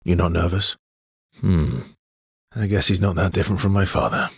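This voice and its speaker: An actor voicing a weary, gentle male adventurer in a video game, with a husky, breathy voice and measured, understated phrasing. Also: sits low, no echo, studio quality, exaggerated voice. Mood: quiet, reflective. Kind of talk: video game dialogue lines.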